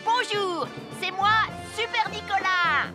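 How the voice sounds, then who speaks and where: High-pitched boastful little boy hero. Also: slow, loud music behind the voice. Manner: pompous mock-heroic declarations. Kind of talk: French cartoon dub.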